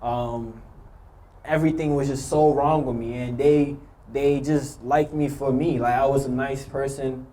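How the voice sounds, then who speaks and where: A young man from Haiti nervously telling his own story to an audience, with many pauses and ums. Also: mid-range voice, steady tone, dark tone. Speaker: youth speaker sharing his story. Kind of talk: personal testimony speech.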